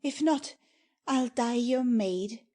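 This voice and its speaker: A woman's voice: fairly high pitch, mellow. A woman playing an innocent, modest, lovestruck young woman in a dramatic Shakespeare audiobook, speaking verse earnestly. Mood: lovestruck, devoted. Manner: slow, earnest delivery of verse.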